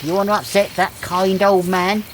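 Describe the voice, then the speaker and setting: A woman's voice: mid-range voice, fairly even intonation, moderate pace, noisy background, close to an everyday voice. A woman playing a mocking, bossy companion with dry sarcasm in a comedy audio drama. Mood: dry mockery. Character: mocking, bossy companion needling monster hunters.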